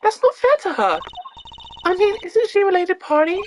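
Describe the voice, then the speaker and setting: A woman's voice: extremely high pitch, very animated, moderate pace, darkish tone, slight background noise, voice pushed up. A woman voicing a bubbly, cheeky teenage girl stage magician in an amateur video game voice-over, perky and eager. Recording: game music behind the voice.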